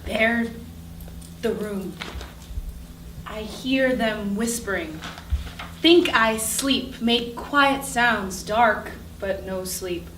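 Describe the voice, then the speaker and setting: A woman acting a haunted figure who speaks in halting, dreamlike fragments in a staged reading. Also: fairly high pitch, a bit bright. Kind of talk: staged dramatic reading, monologue. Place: live staged reading indoors. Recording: distant, echoey room, faint noise.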